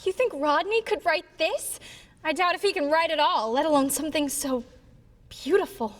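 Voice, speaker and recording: A woman's voice: sits high, moderate pace, natural voice. An actress playing a popular, anxious and affectionate teenage schoolgirl in a staged musical. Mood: tender. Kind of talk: staged musical theatre acting. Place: live staged reading before an audience. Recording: echoey live stage hall, music behind.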